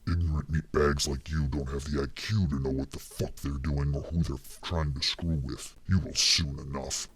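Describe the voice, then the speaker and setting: A menacing demon from a horror story. The voice is an extremely deep, rumbling growl, slow and hushed, gloating like a predator over prey. The sound is close and dry. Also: male voice, steady tone, husky, no room sound, heavily acted. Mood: contemptuous. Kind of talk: horror audiobook character voice.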